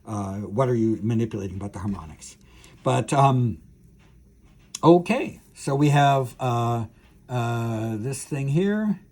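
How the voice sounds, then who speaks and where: Halting male lecturer, slightly husky voice. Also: medium pitch, animated, darkish tone. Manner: halting, thinking aloud with frequent filled pauses and restarts. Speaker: university professor, lecturer. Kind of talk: technical lecture, explaining a diagram.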